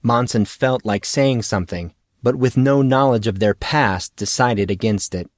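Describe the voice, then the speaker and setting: A man reading a fantasy audiobook in a measured, dramatic storytelling manner. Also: medium pitch, dry recording, clean studio sound. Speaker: audiobook narrator. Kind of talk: scripted fantasy story narration.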